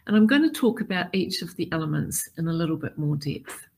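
Middle-aged woman, keynote speaker, husky low voice, deliberate and measured. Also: animated, a little mellow, low-quality recording. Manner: measured, deliberate delivery with listed points. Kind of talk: formal prepared keynote address.

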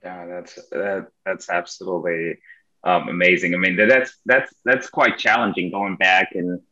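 A man's voice: sits low, fairly even intonation, measured pace, bright, forceful tone, highs cut off. A man moderating a panel over a video call, hesitant with fillers.

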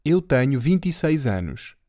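A speaker with a European Portuguese accent, slowly repeating phrases word by word for learners. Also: mid-range voice, sing-song, mellow, dry recording, studio quality. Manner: slow, carefully articulated word-by-word repetition. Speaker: language teacher. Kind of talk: slow repetition drill for learners.

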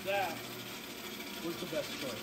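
A man's voice: on the high side, a little slow, very noisy. A middle-aged man with a North American accent chatting and telling stories casually, in a joking, matter-of-fact way, a few feet from the camera in a garage workshop. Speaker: vlogger and workshop tinkerer. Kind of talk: casual storytelling and chat. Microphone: camera a few feet away.

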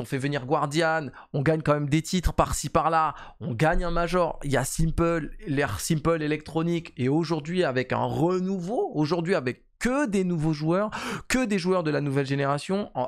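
A man in his thirties talks to camera about esports from his home streaming setup, speaking into a desk mic on a boom arm. His voice sits a little high for a man. He rushes along with plenty of fillers and repeated words. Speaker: esports YouTuber. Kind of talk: casual esports commentary to camera.

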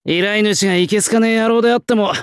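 An actor playing a proud young male swordsmith spirit in an anime-style game, talking in a rough, blunt, old-fashioned working-class way. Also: high voice, fairly even intonation, quick pace, no room sound, studio quality, close to an everyday voice. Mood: confident, casual. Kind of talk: anime-style mobile game character lines.

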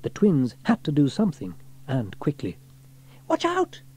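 A man reads a children's story aloud in an expressive way. His voice is mid-pitched and mellow, with a lively rise and fall suited to audiobook narration. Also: moderate pace, husky.

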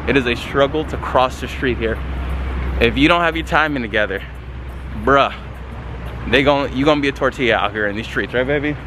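A man's voice: mid-range voice, a little fast. A playful man ribs his partner in casual slang, speaking straight to the viewers. His voice sounds roomy and echoey, with a faint hiss behind it. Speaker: vlogger. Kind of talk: casual chat to camera.

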